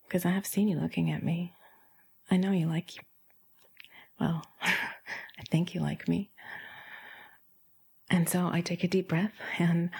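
A woman with a breathy, often whispered voice, softly and haltingly narrating an intimate first-person erotic roleplay straight to the listener. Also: lowish voice. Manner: soft, halting, confiding delivery. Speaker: audio erotica performer.

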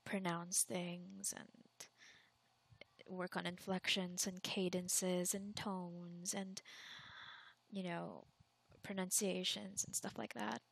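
A woman's voice: fairly low pitch, level tone, slow, creaky, husky, no echo. A young woman from the Philippines chatting candidly in a casual Q&A, rambling with frequent fillers and restarts.

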